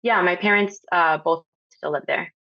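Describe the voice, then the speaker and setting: A woman in her thirties from Honduras, a podcast guest talking casually with many pauses and ums. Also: lowish voice, vocal fry, dry recording. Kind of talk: casual interview talk. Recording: slightly dull lo-fi audio.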